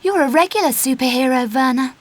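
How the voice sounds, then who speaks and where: Woman playing young female adventurer, high-pitched voice, cheeky, wry, sarcastic, video game dialogue, long cave reverb. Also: a little fast, natural voice.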